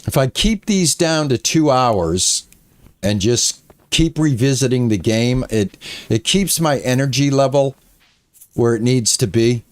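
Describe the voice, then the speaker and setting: A man in his late sixties chatting casually to his viewers while streaming a farming game, rambling with frequent pauses. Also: medium pitch, animated. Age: 60s. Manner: soft and quiet while petting his cat. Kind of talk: casual live-stream chat while playing.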